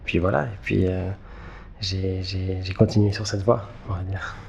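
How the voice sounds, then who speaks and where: A man, giving halting, conversational interview answers and repeating words as he thinks. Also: low voice, fairly even intonation, gravelly, a little mellow, highs cut off, a little hiss or hum. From Geneva, Switzerland. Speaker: interview guest, filmmaker.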